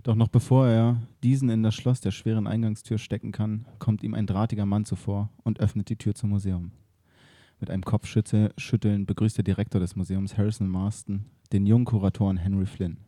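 Ein Mann, Gastgeber eines Podcasts, erzählt ruhig und gemessen ein Kriminalhörspiel. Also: male voice, fairly low pitch, dry recording, clean studio sound. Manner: calm, measured storytelling. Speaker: podcast host and narrator. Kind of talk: scripted narration.

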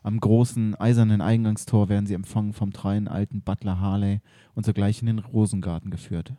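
A man narrating scenes of an improvised radio play in a calm, measured way. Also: on the low side, no room sound.

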